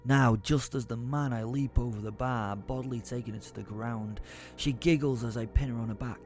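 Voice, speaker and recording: A man's voice: medium pitch, fairly even intonation, moderate pace, a little mellow, soft background music, lightly acted. A man playing a brooding, exasperated werewolf who tells his own story as theatrical first-person audio drama narration. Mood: seething. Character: brooding, foul-mouthed male werewolf, seething with helpless rage.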